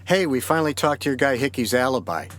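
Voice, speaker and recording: An actor playing a steady, probing American sheriff's detective in an audio drama, questioning in a measured, matter-of-fact way. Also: male voice, medium pitch, faint music behind, close to an everyday voice. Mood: dry, skeptical.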